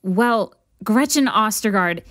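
A woman's voice: mid-range voice, lively intonation, slow pace, a bit bright, dry recording, clean studio sound. A woman playing a polished, agreeable true-crime podcast host in an audio drama. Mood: agreeable, upbeat.

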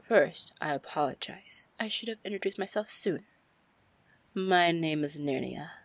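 A woman playing a seductive, commanding female pirate lord in an ASMR fantasy roleplay, with a teasing manner and breathy near-whispers. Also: on the low side, expressive, moderate pace, no echo. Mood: flirtatious, amused. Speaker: roleplay audio performer.

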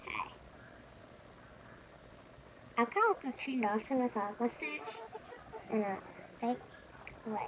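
A woman's voice: on the high side, lively intonation, takes it slowly, pauses often. A young woman joking around in front of her webcam in a small room, rambling in a silly way about how she looks. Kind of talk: playful webcam monologue with face filters. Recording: noisy webcam recording.

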